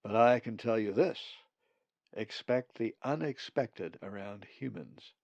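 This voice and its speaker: Blunt, sly male storybook raven, played by a man: gravelly, folksy, emphatic, always thinking of food, dry close sound. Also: lowish voice, takes it slowly, a little mellow, dry recording, dull audio, exaggerated voice. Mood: hearty, urging.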